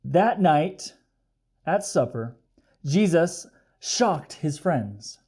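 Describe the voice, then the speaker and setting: A man narrates a children's storybook with animated pitch and an unhurried pace. He explains as he goes and puts questions to his young listeners in a mellow tone. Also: husky. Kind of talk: expressive children's read-aloud with asides.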